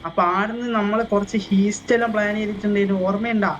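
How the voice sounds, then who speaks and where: A man's voice: high-pitched, level tone, very fast, a bit bright. A man with a north Kerala accent chatting casually as a player in an online roleplay game. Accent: north Kerala Malayalam. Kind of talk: casual in-game chat, giving advice. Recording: noisy game voice chat.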